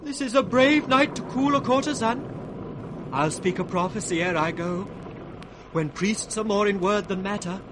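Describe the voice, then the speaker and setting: A man playing a riddling British court fool in a Shakespearean radio drama. His high voice has a singsong, mocking rhythm. The vintage recording has clear background noise. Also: normal speed, lightly acted. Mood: mocking, prophetic. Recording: noisy 1960s radio recording.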